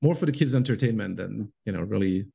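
French-accented male guest, low gravelly voice, halting and laughing easily. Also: warm tone. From France. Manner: hesitant, laughs often, many fillers. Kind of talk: casual interview chat.

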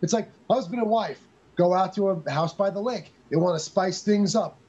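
An excitable man chats through a movie review, acting out his own reactions and swearing freely. His voice sits fairly high and sounds husky. The recording is dull and lo-fi. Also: normal speed. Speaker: podcast co-host. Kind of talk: casual movie review chat.

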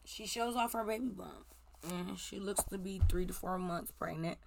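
A woman in her forties with a slightly raspy voice, hosting casual celebrity gossip commentary in a blunt, profane, ranting way. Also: on the low side, normal speed, a little mellow, slight background noise.

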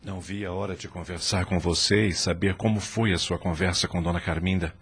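An actor playing a humble, anxious middle-aged husband in a radio drama, with a Brazilian accent and a contrite, heartfelt delivery. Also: male voice, deep voice, fairly even intonation, brisk, a bit bright, slightly rough, exaggerated voice. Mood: anxious, tender.

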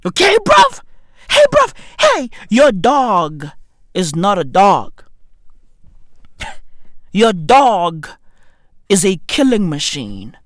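A man, doing a comedic rant full of slang and sudden shouts. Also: high voice, wildly swooping pitch, very slow, long pauses, dry recording, clean studio sound. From South Africa. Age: 30s. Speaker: comedy podcast host.

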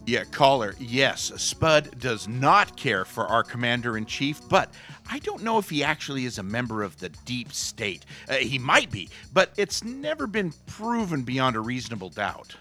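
An actor as a principled male radio sidekick in a comedy show. His voice is bright and swings up and down. He sounds prim, earnest and a little preachy. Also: mid-range voice, sing-song, normal speed, soft background music. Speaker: radio co-host. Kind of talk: comic radio banter.

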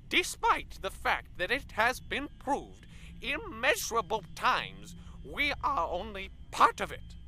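A pompous scholar lectures on wonder and mankind's place in the universe, as a man acts him out in an audio drama. His voice is bright and sharp, and it rises and falls with lively sweeps. He speaks in a lofty, musing, lecturing way. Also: high-pitched, heavily acted, low-quality recording. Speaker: professor interviewed on a broadcast.

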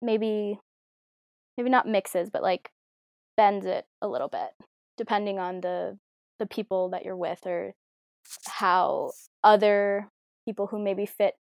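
A woman's voice: mid-range voice, vocal fry, a little mellow, no echo, studio quality. Reflective young woman, interviewee. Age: young adult. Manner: reflective, many fillers and hedges. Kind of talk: casual conversational interview.

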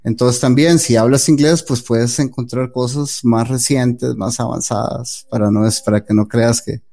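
A man's voice: medium pitch, steady tone, normal speed, vocal fry, dark tone. Hesitant man giving earnest advice. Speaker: guest developer. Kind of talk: casual interview answers.

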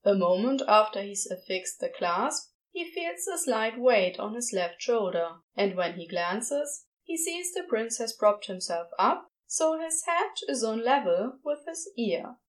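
High, lively woman narrator. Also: measured pace, hardly any pauses. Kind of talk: scripted fiction narration.

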